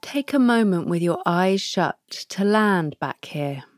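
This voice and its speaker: A woman hosting a podcast, leading listeners through a guided meditation with soft prompts and long pauses. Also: lowish voice, lively intonation, dry recording, studio quality. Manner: slow, soft, pausing between prompts. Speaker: podcast host guiding a practice.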